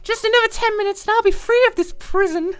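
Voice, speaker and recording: A man voicing an excitable, bubbly, rebellious teenage anime schoolgirl in an exaggerated falsetto. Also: fast, soft background music. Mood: impatient, eager. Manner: exaggerated, gleeful.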